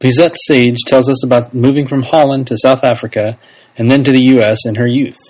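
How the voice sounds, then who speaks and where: A man reading a scripted introduction as the announcer for a library's oral history series, brisk and even. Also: mid-range voice, poor audio. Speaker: program announcer.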